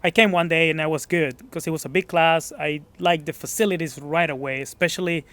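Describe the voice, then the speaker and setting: Modest Mexican-accented man, slightly high voice. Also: fairly even intonation, fairly quick, a bit bright, dry recording. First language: Spanish. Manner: searching for words, modest, restarts phrases. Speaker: gym member being interviewed. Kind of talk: casual interview.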